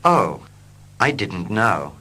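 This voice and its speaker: Man, English teacher, scripted lesson reading, very slow, lively pitch. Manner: slow, clear and expressive.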